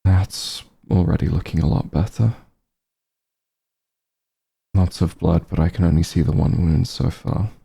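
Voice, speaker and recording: A man playing a calm, caring back-room doctor who gently scolds an old friend in a softly spoken ASMR roleplay, soothing with dry bluntness. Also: full character voice. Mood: focused, gentle. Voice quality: low, gravelly, husky. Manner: soothing, unhurried bedside reassurance with dry bluntness.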